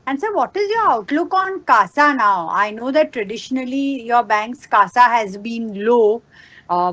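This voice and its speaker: A woman's voice: a little high, sharp tone. A woman politely asking questions as an analyst on an earnings call.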